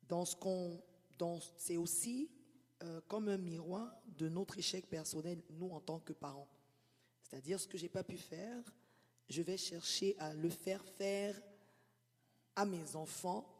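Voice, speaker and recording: A man's voice: high voice, darkish tone, long reverb tail. A man hosting a podcast interview, reflective, searching for words and repeating himself. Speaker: host.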